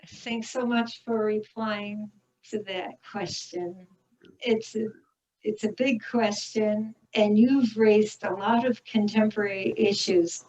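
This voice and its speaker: A woman's voice: medium pitch, steady tone, slow pace, a little mellow, poor audio. A woman moderating an online commemoration, thanking one speaker and formally introducing the next. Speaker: event moderator.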